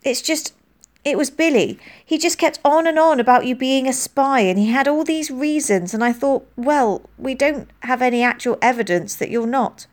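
A woman's voice: fairly high pitch, animated, moderate pace, voice pushed up, natural voice. A woman voicing a remorseful schoolgirl who pleads tearfully and hurries through her apology. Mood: pleading, remorseful.

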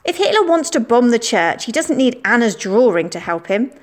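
A woman's voice: fairly high pitch, big pitch swings, normal speed, pitched above the performer's own voice. A woman voicing a sensible schoolgirl who brushes off nonsense. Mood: dismissive.

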